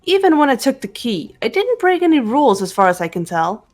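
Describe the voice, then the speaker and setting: A woman voicing a cool, determined teenage detective girl in a visual novel game, measured and reserved. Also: a little high, very animated, darkish tone, faint music behind. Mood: cool persuasion.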